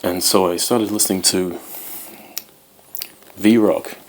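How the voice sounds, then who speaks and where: A man's voice: deep voice, fairly even intonation, takes it slowly, slight background noise, low-quality recording. A man in his twenties, born in Wales, chatting casually to camera as host of his guitar channel, rambling with many ums. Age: 20s.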